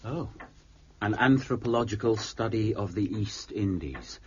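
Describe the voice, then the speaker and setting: An actor playing an earnest detective's companion in an audio drama, polite, inquisitive and easily amazed. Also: male voice, on the low side, lively intonation, unhurried, a little mellow. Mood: curious. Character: earnest, curious, astonished male sidekick of a detective. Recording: muffled, noisy lo-fi audio.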